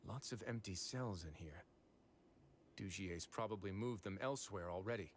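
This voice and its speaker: An actor playing a calm male game hero who leads a rescue, speaking in a measured, composed way. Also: deep voice, noisy background, natural voice. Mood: steady. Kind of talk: game voice acting.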